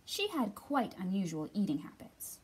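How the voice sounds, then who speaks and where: A woman's voice: mid-range voice, huge pitch swings, normal speed, darkish tone, slight background noise. A woman in her thirties hosting a children's storytime, reading and talking expressively to young listeners as a librarian. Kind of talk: picture book read-aloud and storytime talk for young children.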